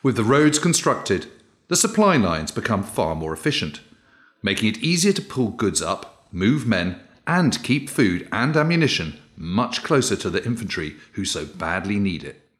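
A man's voice: lowish voice, sing-song, moderate pace, fairly bright tone. A man hosting and narrating a history podcast. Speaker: host, narrator.

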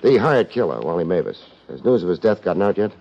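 Tough American detective, sardonic, clipped, very lively pitch, muffled vintage radio sound. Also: male voice, quick pace, exaggerated voice. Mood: brisk, wry. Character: tough, wisecracking male private detective. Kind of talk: 1950s American radio drama acting. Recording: dull old 1950s radio recording.

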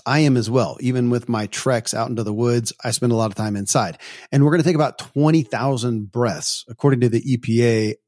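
Dude reading an ad spot, dry close sound, spells stuff out slow. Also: male voice, mid-range voice, a little mellow, no room sound. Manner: clear, spells out web addresses slowly. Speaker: podcast host reading ads. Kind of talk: read sponsor advertisement.

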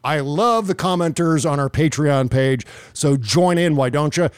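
Guy podcast host, kinda high voice, dry close sound, casual political rant, emphatic and sweary. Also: measured pace, clean tone, no echo. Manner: emphatic, opinionated, profane.

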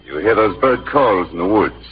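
A man's voice: low voice, lively intonation, moderate pace, background noise. An actor playing a shrewd, evasive, scornful frontiersman in a dismissive 1950s radio drama manner. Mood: knowing. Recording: muffled old radio broadcast.

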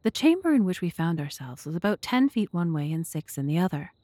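A woman playing the measured storyteller of a detective mystery in an audio drama, narrating. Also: fairly low pitch, sing-song, warm tone, dry recording. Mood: measured, descriptive. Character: measured storyteller recounting a detective's investigation as companion.

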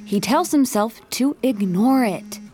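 A woman's voice: a little high, lively intonation, measured pace, dark tone. A woman performing composed, wry scripted narration as the storyteller of a comedy audio drama. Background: music.

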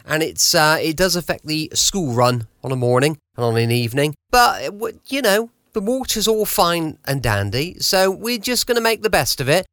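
A man's voice: a little high, fairly bright tone, no echo, clean studio sound. An English man hosting a podcast from home, chatting in a chirpy, informal way. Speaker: podcast host. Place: at home, dry close recording.

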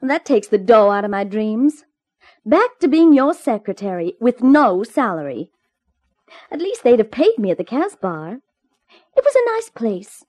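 An actress playing a detective's sassy, jealous young secretary in a 1950s radio drama, with a teasing, pert, sardonic delivery. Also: female voice, fairly high pitch, very animated, measured pace, darkish tone. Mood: dreamy, wistful. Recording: 1950s radio drama recording, music behind.

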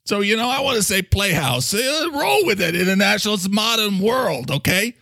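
High, blustery New York coffee maker. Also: male voice, big pitch swings, a little fast, vocal fry, bright, forceful tone, no echo, clean studio sound, heavily acted. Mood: blustery, pushy. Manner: blustery, defensive, swearing, badgering with questions. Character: gruff, touchy, foul-mouthed New York coffee maker.

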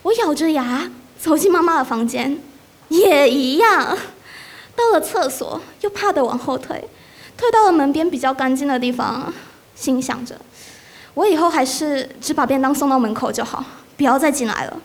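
Brief: female performer voicing a grown son, earnest, telling his story in a radio play, high voice. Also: animated, normal speed. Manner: earnest monologue narration. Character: grown son recounting his mother's decline.

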